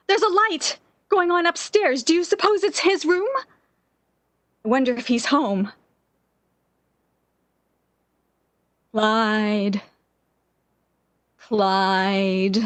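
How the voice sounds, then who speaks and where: A woman's voice: mid-range voice, no echo. An actress playing a lovesick, obsessive jilted young woman in the impassioned style of 1940s radio drama. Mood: longing, breathless longing. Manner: melodramatic, yearning, impassioned.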